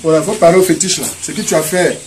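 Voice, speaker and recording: Commanding pastor, higher-pitched man. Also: fairly even intonation, fast talker, crowd noise. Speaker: pastor leading a deliverance. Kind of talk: giving instructions and praying at an on-site deliverance. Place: open-sided yard outdoors. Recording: noisy phone video with outdoor bird and ambient sounds.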